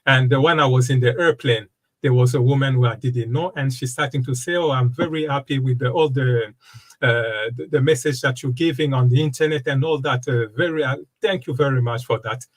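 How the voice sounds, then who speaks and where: A man in his fifties from the Democratic Republic of Congo, a wellness coach speaking as an interview guest, talking in a halting way with many fillers and restarts. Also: medium pitch. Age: 50s. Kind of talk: casual interview conversation.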